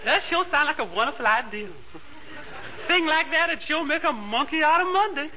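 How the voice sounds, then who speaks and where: A man's voice: very high-pitched, animated, moderate pace, pushed and bright, full character voice. A man playing a good-humored, giggly housemaid with a folksy drawl in 1940s radio comedy. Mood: folksy, amused. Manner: drawling, folksy nonstandard grammar. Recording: noisy, muffled old radio recording.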